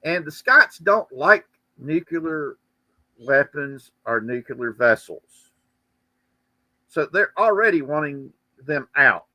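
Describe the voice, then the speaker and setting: A man's voice: on the high side, animated, slow pace, pauses often, bright, forceful tone, no room sound, low-quality recording. A man hosting a livestream, chatting casually and giving commentary in a rambling, joking way. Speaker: livestream host. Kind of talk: casual chat and political commentary.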